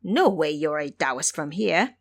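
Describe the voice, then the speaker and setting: A woman's voice: deep voice, unhurried, exaggerated voice. A woman voicing a gullible young male demon imp, an eager, naive servant, in an audio drama. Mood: indignant. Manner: excitable, earnest, naive. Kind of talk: audio drama character acting.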